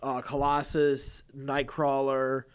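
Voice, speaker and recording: A man's voice: medium pitch, monotone, slow pace, smooth. A man co-hosting a comics news podcast, chatty and casual, moving between banter and news items.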